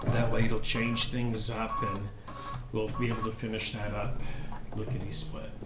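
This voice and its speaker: A man hosting a casual sewing livestream, chatty and folksy, with a slightly raspy, mildly congested voice from allergies. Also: lowish voice, flat delivery, moderate pace, over loud music. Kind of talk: casual livestream chat while demonstrating. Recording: roomy echo, loud machine hum.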